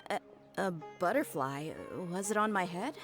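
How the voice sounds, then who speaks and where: Actress as an animated, confident young woman. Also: medium pitch, normal speed, soft background music, natural voice. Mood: surprised. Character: confident, protective young woman, bright and persuasive. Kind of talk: anime video game voice acting.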